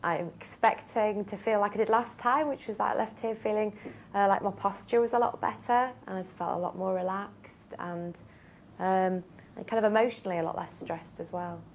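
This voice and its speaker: A woman patient talking casually in an interview, soft and relaxed, her words trailing off quietly. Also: mid-range voice, moderate pace, a little hiss or hum.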